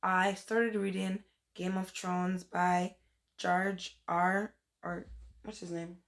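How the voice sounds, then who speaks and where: Hoarse young woman with a cold. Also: on the low side, little pitch movement, takes it slowly. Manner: chatty, rambling, says "like" and "um" often. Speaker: book vlogger. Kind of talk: casual book review vlog.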